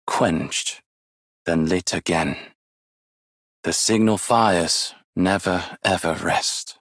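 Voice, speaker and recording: An actor playing a wise, weary, ageless martial arts master in a game, with measured, formal, poetic delivery and a husky voice. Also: male voice, low voice, a bit bright, dry recording, studio quality, exaggerated voice. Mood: somber, reflective. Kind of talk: scripted game character voice lines, solemn and poetic.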